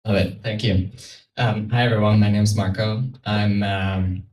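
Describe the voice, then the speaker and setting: A man's voice: fairly low pitch, flat delivery, a little slow, a little mellow. A man from Switzerland speaking informally and somewhat hesitantly as a guest on a panel. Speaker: panel guest from a carbon removal company. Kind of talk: casual spoken panel talk. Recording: roomy, distant mic, noisy event space.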